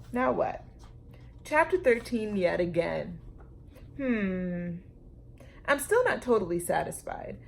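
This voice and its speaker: A woman storytime reader with a noticeably creaky voice at an average pitch. She reads a children's book expressively, placing deliberate, dramatic emphasis on phrases. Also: slight background noise.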